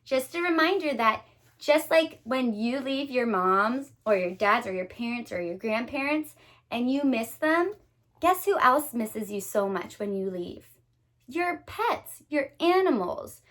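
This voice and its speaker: A woman teacher reading a picture book aloud to young children, expressive and dramatic, pausing to put questions to her listeners. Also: on the high side. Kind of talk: animated children's read-aloud.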